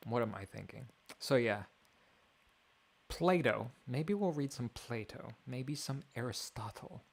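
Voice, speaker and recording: A young man streaming alone, thinking out loud in a wry, mock-grand way. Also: mid-range voice, animated, measured pace, gravelly. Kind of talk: rambling livestream monologue.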